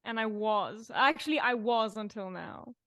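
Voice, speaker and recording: A woman co-hosting a podcast, trading dry deadpan teasing in casual banter from a separate room. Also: a little high, measured pace, no room sound. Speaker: podcast co-host. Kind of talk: casual banter, mock weather report. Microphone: remote call, separate room.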